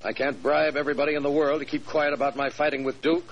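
An actor playing a curt, threatening middle-aged company boss in 1940s radio drama style. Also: male voice, a little high, steady tone, fast talker, lightly acted. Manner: brusque, menacing. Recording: noisy old radio recording.

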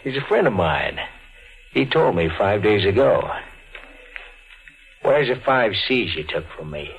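Out of a muffled old radio broadcast comes a sadistic gangster boss. He taunts and menaces in tough slang, drawing out his words, with pitch that swings widely. It is full-blown vintage thriller acting. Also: sing-song, vocal fry, exaggerated voice. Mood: menacing. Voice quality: slangy tough-guy speech. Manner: taunting, drawn-out delivery.

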